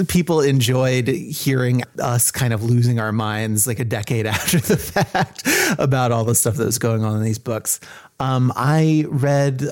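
A man's voice: mid-range voice, darkish tone. A man chatting casually as a podcast guest, with a wry, self-deprecating way of talking. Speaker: podcast host appearing as a guest. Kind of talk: casual chat.

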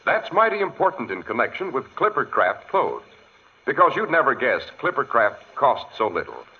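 Male 1940s radio announcer, polished and theatrical, very varied pitch, dulled old broadcast sound. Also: big pitch swings, moderate pace. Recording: muffled vintage 1940s radio recording.

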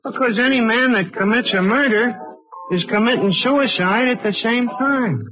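Skeptical barkeep, high voice, wry and argumentative, vintage radio drama, muffled sound. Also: male voice, normal speed, music in the background. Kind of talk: 1940s radio comedy-drama acting. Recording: muffled old radio recording.

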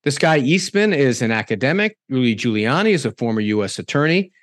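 A man's voice: mid-range voice, sing-song, fairly quick, no echo, clean studio sound. A middle-aged man hosting a talk podcast, chatty and rambling, often breaking off and restarting mid-sentence. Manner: rambling, self-interrupting, chatty. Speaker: podcast and radio host. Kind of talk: conversational hosting.

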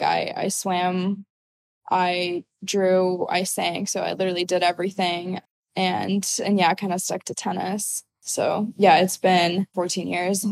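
A woman in her twenties from Ukraine, speaking in a slightly low, easy voice. She answers questions casually and loosely, with plenty of fillers. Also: vocal fry. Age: 20s. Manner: relaxed, uses many fillers like "like" and "so yeah". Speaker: guest, college athlete.

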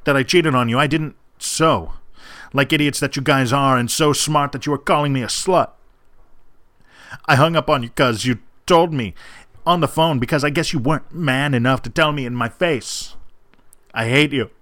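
A man's voice: mid-range voice, normal speed, a bit bright, no room sound, lightly acted. A man playing a furious, scornful jilted young person in an emphatic, declamatory dramatic reading. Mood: indignant, scornful.

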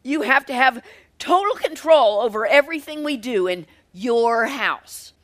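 A woman's voice: fairly high pitch, expressive, measured pace, voice pushed up. A woman voicing Avery, a defensive, resentful sister who snaps accusations. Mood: accusing.

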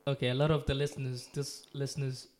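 Man, podcast host, mid-pitched, easy conversational manner. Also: little pitch movement, quick pace, a little mellow. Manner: lots of backchannel, conversational. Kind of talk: casual interview.

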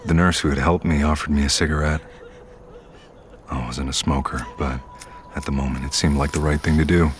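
A man playing a somber storyteller, narrating an audio drama in the first person in a measured way. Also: rumbling low, fairly even intonation, a little hiss or hum. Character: somber man recounting his friend's death.

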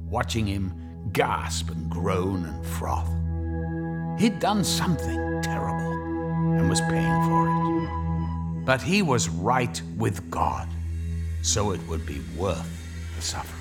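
Slow, deep, sly horror storyteller. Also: male voice, big pitch swings, exaggerated voice. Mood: macabre. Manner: sly, mock-sermonizing, deliberate. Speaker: story narrator, host. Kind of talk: theatrical scripted horror narration. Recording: music clearly under the voice.

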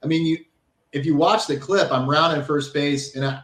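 A man's voice: a little high, fairly even intonation, normal speed, a bit bright. An American man, a former college ballplayer, reminiscing casually as an interview guest. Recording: roomy, echoey sound.

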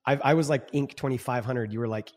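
A man in his forties talks as a podcast guest, earnest and reflective, restarting his sentences with repeated words. His pitch is ordinary for a man. A long reverb trails behind his voice. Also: quick pace. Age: 40s. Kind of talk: casual interview chat.

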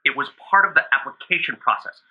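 An actor playing an earnest, insistent author debating as a phone-in guest on a radio news panel. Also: male voice, medium pitch, expressive, fast, slightly rough. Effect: phone line. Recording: dull, muffled simulated phone line.

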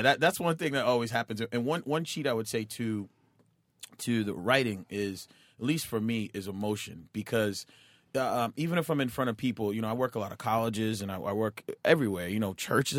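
A stand-up comedian talking casually as a guest, animated and acting out other people's voices. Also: male voice, mid-range voice, no room sound. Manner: animated, acts out voices, fillers and restarts. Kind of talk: casual chat.

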